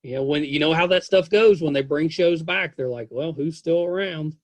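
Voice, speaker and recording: A man's voice: a little high, normal speed, no room sound. Enthusiastic, rambling man hosting a podcast. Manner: enthusiastic, rambling, repeats himself. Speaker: podcast host. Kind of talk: casual interview chat.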